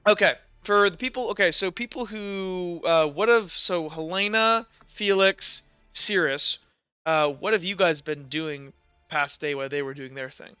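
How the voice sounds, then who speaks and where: A man working as game master, improvising tabletop roleplay narration in informal, halting pieces. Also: sits high, bright, forceful tone, clean tone, no room sound. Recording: slightly dull audio, music underneath. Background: music.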